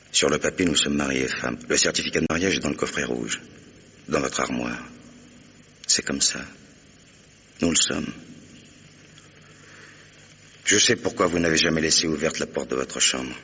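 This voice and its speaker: An actor playing a stern, devoted husband in a French radio mystery play, speaking with formal, earnest authority. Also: male voice, bright, forceful tone, full character voice. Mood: earnest, intense. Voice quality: very deep, gravelly, husky. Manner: earnest, commanding, formal address. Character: stern, devoted new husband, protective and determined.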